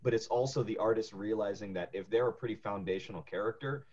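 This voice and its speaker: A man's voice: fairly low pitch, fast talker, a little mellow, highs cut off. A male voice actor co-hosting a podcast, chatting casually and often repeating words as he starts a thought.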